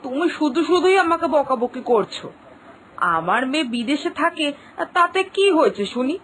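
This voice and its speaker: A woman's voice: sits high, expressive, moderate pace, slight background noise. A woman voicing a doting, fretful village mother in a cartoon, with homely exclamations. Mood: defensive. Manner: homely, exclaiming, talks to herself. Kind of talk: Bengali cartoon voice acting.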